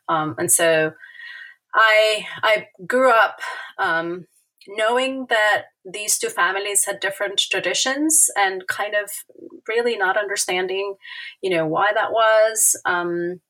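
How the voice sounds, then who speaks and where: A middle-aged woman from Argentina, a native Spanish speaker, talking about her research in an interview and pausing often with fillers. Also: on the low side, bright, forceful tone, low-quality recording. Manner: thoughtful, many hesitations and fillers. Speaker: guest author, historian. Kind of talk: academic interview.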